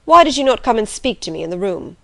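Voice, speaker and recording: A woman voicing a brusque, commanding gentleman of the manor who gives imperious, clipped orders. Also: sits high, very animated, fast, gravelly, no room sound, full character voice. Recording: dry.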